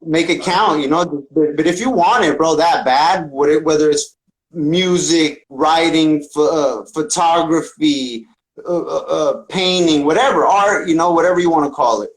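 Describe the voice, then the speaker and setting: Male podcast guest, musician type, voice on the higher side, casual and streetwise slang, unpolished and profane. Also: normal speed, low-quality recording. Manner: slangy, profane, often says "man" and "bro". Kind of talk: casual conversational interview.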